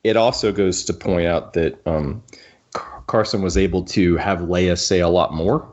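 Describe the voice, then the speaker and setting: A man's voice: deep voice, steady tone, normal speed, darkish tone. A man co-hosting a podcast in casual conversation, with wry humor, on slightly muffled audio. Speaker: podcast co-host. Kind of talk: casual chat.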